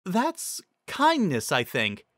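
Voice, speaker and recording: A man voicing a bright, inquisitive female earth pony who explains things. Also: high voice, wildly swooping pitch, takes it slowly, dry recording, studio quality, pitched above the performer's own voice, heavily acted.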